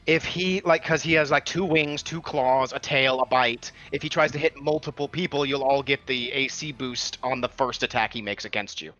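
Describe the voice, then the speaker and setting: A man's voice: medium pitch, moderate pace, few pauses, sharp tone. A man playing a tabletop roleplaying game, casually explaining his moves and the rules. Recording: dull lo-fi mic, background music.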